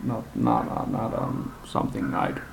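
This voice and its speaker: A man giving an unscripted, casual movie-review rant in a halting, blunt way, in a homemade recording. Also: slight background noise. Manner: halting, rambling delivery. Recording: homemade, radio music playing behind. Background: music from a live radio.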